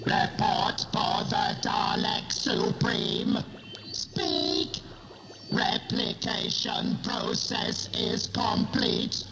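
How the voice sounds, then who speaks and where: Casting: imperious sci-fi commander played by a woman, clipped orders in an echoing space, loud music behind. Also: medium pitch, expressive, drawn-out words, vocal fry, exaggerated voice. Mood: commanding. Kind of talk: sci-fi audio drama acting.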